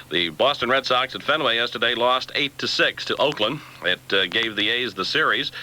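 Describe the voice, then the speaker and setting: A man's voice: medium pitch, pushed and bright, slight background noise, poor audio. A man reading a scripted local bulletin of news, sports and weather on a 1970s AM radio station, taped off air on cassette. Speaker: radio newsreader. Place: radio studio.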